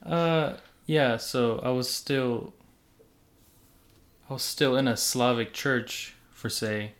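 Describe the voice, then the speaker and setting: Relaxed young male co-host, hedges with "you know", casual podcast chat. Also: mid-range voice, slow. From United States. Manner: easygoing, hedging with "you know" and "I guess". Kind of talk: casual religious podcast talk.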